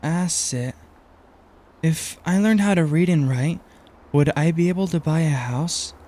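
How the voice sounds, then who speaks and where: A man's voice: a little high, unhurried, mellow. A man voicing a naive, hopeful young boy in a manga dub drama. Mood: hopeful, teasing. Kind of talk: anime-style manga dub acting.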